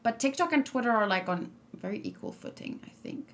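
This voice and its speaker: A woman's voice: fairly high pitch, lively intonation, fairly quick, fairly bright tone. Young adult woman streamer, casual reaction chat, frank and rambling, plenty of fillers. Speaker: streamer reacting to a game trailer.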